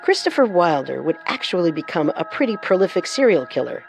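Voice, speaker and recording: A woman's voice: lowish voice, very animated, a little fast, gravelly. A woman co-hosting a true crime show, delivering scripted narration with dramatic phrasing.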